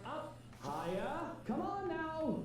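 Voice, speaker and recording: A man's voice: very high voice, wildly swooping pitch, slow pace, exaggerated voice. A pompous, wealthy British husband in a story game. He is theatrical and indignant, with swooping intonation. The sound is heavily echoing and far from the mic, with faint noise. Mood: haughty, bossy. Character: angry, haughty, dramatic rich British husband. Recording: very echoey, distant, faint noise.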